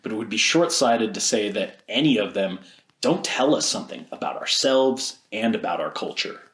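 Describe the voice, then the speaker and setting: A man's voice: fairly low pitch, lively intonation, normal speed, fairly bright tone. An American man co-hosting a video essay with scripted narration, recorded with audible room echo. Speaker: video essay host and teacher.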